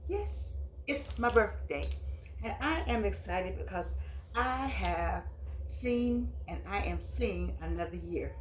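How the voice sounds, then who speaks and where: A woman in her eighties hosting her own vlog, chatting and reminiscing in long, drawn-out phrases. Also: lowish voice, a little mellow, slight background noise. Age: 80s. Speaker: host. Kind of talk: casual vlog monologue.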